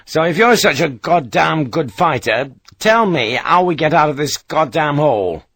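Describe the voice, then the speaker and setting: A man's voice: fairly high pitch, lively intonation, normal speed, fairly bright tone, no echo, voice pushed up. A man doing a hot-tempered British officer. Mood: curt. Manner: curt, swearing, clipped retorts. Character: weary, hot-tempered British rifle lieutenant.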